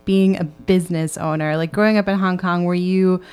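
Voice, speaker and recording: A woman's voice: lowish voice, steady tone, normal speed, darkish tone, smooth. A woman hosting a podcast, asking a guest friendly, curious questions. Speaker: podcast host. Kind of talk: interviewing a guest.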